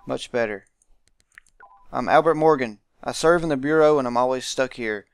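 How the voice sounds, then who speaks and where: Man voicing a gruff, embittered old soldier. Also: mid-range voice, moderate pace, dry recording, natural voice. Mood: bitter, grumbling. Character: gruff embittered male veteran stuck in office service. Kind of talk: visual novel character read-aloud.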